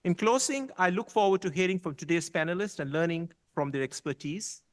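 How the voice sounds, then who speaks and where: A man's voice: high-pitched, a little fast. Man delivering a formal welcome speech. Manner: reads smoothly, stumbles when ad-libbing. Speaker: university official giving a welcome address.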